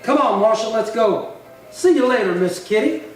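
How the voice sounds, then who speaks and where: Radio western villain male actor: menacing, cocky cowboy, high voice, strong hall echo, faint hiss. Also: expressive, normal speed, soft background music. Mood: coolly menacing. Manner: swaggering, threatening. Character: bitter, menacing male drifter cowboy, jilted brother. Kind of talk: old-time western radio acting. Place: little theatre stage, distant mic. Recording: very echoey hall, far from mic, faint noise.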